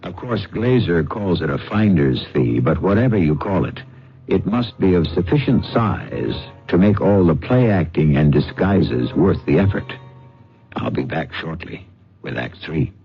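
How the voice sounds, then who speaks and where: Deep, theatrical male radio narrator over music. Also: big pitch swings, a little slow, gravelly. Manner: theatrical, knowing, addresses listeners as friends. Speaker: radio drama host and narrator. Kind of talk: dramatic, wry scripted narration. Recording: dull old radio broadcast with music.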